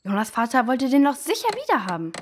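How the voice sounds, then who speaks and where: A woman's voice: on the high side, huge pitch swings, fast. A woman playing a sympathetic, inquisitive child in a children's audio drama. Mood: sympathetic, curious.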